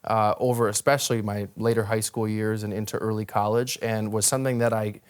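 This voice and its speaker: A man's voice: lowish voice, little pitch movement, a little fast, clean tone. A young American man from Wisconsin telling his own story as an interview guest, articulate and reflective in a conversational way. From northern Wisconsin, United States. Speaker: interview guest, ministry worker.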